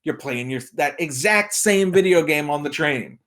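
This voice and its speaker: A man's voice: a little high, lively intonation, moderate pace, fairly bright tone. A man speaking casually and enthusiastically as a guest on a video game podcast.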